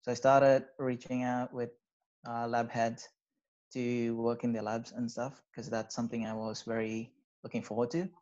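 Young male student from Sri Lanka, casual and halting, heard over a muffled video call. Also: medium pitch. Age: young adult. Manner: relaxed, hesitant, many fillers. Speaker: undergraduate student. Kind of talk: casual interview answers. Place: small bedroom, indoors. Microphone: video call with wired earphones. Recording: slightly dull video-call audio.

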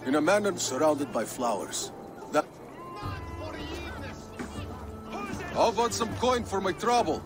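A shifty, officious male dockmaster. He sounds greedy, with a fairly high voice and lively swings in pitch. Music plays underneath. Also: normal speed. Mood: sly. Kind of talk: video game cutscene acting.

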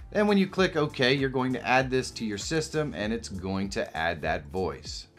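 A middle-aged man hosting a casual software tutorial. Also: on the low side. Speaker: tutorial host. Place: small indoor home studio.

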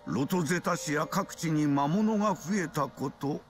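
Slow, solemn old king played by a man. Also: a little high, natural voice. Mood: grave. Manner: grave, formal, archaic old-man phrasing. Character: solemn, stately elderly kings in council. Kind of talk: dramatic Japanese RPG cutscene acting. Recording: game cutscene with faint music.

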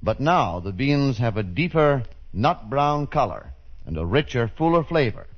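A man reading a 1930s radio advertisement as announcer, with measured, polished salesmanship. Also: mid-range voice, very animated. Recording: dull 1930s radio recording.

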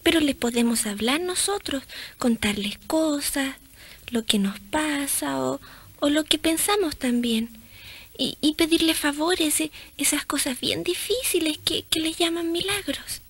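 High-voiced, devout little girl. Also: unhurried. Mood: earnest, childlike. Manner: hesitant, childlike, repeats word starts. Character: gentle, devout little girl teaching prayer. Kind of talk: radio drama acting.

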